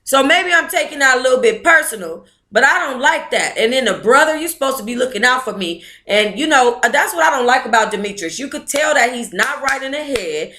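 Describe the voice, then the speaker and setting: A woman's voice: high-pitched, expressive, a little fast, hardly any pauses, bright, forceful tone. A woman hosting an online book club, reading aloud and commenting in a blunt, informal, opinionated way.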